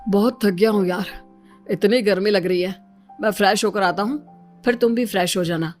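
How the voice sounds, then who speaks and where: A woman's voice: mid-range voice, normal speed, darkish tone, soft background music, voice pushed down, close to an everyday voice. A woman voicing a weary, worried young newlywed husband in a dramatized moral story. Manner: complaining, anxious.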